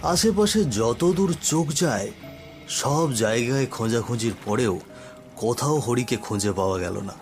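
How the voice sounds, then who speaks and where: A man's voice: medium pitch, animated, measured pace, soft background music. A man giving dramatic scripted narration for a cartoon story.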